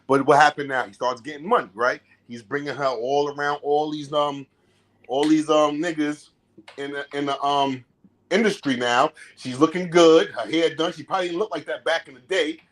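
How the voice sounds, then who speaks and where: Animated man in loose slangy chat. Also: a little high, normal speed. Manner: animated, slangy storytelling with acted-out quotes. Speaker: podcast host. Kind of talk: casual chat.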